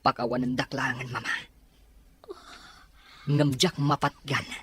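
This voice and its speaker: A man's voice: medium pitch, flat delivery, unhurried, fairly bright tone, a little hiss or hum, lightly acted. A man with an Ilocano accent playing a determined young son bent on avenging his father in an audio drama. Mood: resolute. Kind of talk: Ilocano radio-style drama acting.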